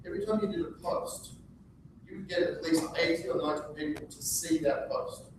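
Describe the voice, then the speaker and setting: An Australian man presents to a room of business owners, upbeat and throwing questions at the crowd. His voice sits a touch high. The recording is echoey and distant, with steady background noise. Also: fairly quick. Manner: upbeat, engages audience with questions. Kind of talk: live seminar presentation. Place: meeting room with audience.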